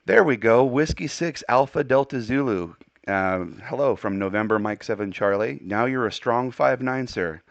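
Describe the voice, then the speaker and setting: A man's voice: medium pitch, normal speed, hardly any pauses, muffled recording. An American man working as an amateur radio operator, speaking courteously in a casual on-air radio style. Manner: courteous, spells with phonetic alphabet.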